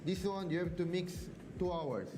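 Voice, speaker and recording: Excited man in broken English. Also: high voice, normal speed, noisy background. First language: Tagalog and Korean. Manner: broken English, eager, loud yummy sounds. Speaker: restaurant guide. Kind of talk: casual food tour chat.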